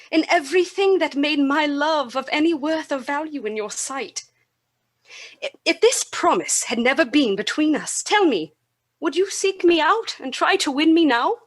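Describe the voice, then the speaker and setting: An actress playing a sorrowful, gentle young Victorian woman in a radio drama. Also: high voice, animated, measured pace. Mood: grave, hurt. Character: Belle, sorrowful gentle young woman releasing her betrothed.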